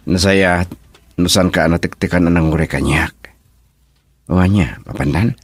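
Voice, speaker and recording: A young Filipino suitor in a radio play, considerate and low-voiced. He speaks as a sweetheart in dramatic dialogue. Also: measured pace, breathy edge, dry recording, studio quality. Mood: casual.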